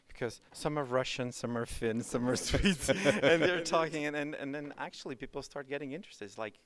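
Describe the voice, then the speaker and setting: A man's voice: fairly high pitch, a little fast, crowd noise. A man hosting a podcast, chatting casually with his guest and often restarting his sentences. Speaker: podcast host. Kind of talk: conversational interview.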